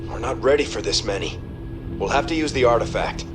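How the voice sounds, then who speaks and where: A man's voice: mid-range voice, animated, a little fast, loud music behind the voice, close to an everyday voice. An actor playing an alarmed military crewman in a video game, urgently reporting threats. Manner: urgent, tense delivery.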